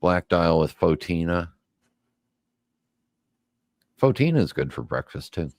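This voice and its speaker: A man's voice: low voice, very animated, measured pace, creaky, a little mellow, a little hiss or hum. A man from Southern California co-hosting a casual chat, telling rambling stories and teasing his co-host.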